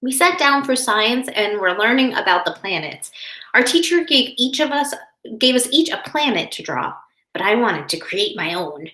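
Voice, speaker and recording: A woman with a fairly high voice speaks as a teacher to young children. She explains things carefully in simple words, as in a read-aloud session. The recording is clearly roomy, with echo around her voice. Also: very animated, normal speed, pushed and bright. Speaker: teacher reading a picture book to children. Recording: roomy, echoing indoor sound.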